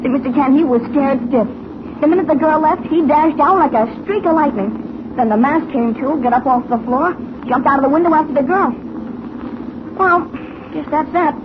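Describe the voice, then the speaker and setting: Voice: sits high, moderate pace, close to an everyday voice. A performer playing an eager, naive teenage boy cub reporter in 1940s radio style, boyish and hesitant with gee-whiz delivery. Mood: puzzled. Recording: tinny, very noisy old radio recording.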